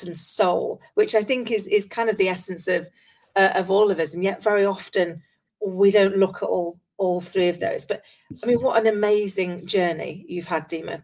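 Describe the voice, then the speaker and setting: A woman hosts an interview podcast in a medium-pitched voice. Her delivery is effusive and encouraging, moving between introduction and friendly conversation. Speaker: podcast host interviewing a guest.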